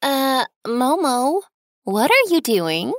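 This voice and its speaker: A woman voicing a gentle, reassuring young mother in a children's storybook, soothing and coaxing. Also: high voice, sing-song, slow pace, no room sound, clean studio sound, exaggerated voice. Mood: curious. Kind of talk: scripted children's storybook dialogue.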